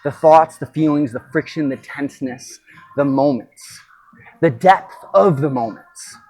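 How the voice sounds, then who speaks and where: A man speaking off the cuff as a podcast host and coach, in an emphatic, halting motivational monologue with pauses and repetition. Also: a little high, darkish tone, slightly rough, rough recording.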